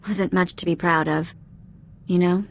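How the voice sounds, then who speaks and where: A woman playing a cheeky, warm-hearted young alien ex-thief in a video game, speaking in a casual, candid, slangy way. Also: on the low side, fairly even intonation, measured pace, gravelly, a little hiss or hum. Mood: candid, reflective. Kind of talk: video game dialogue acting.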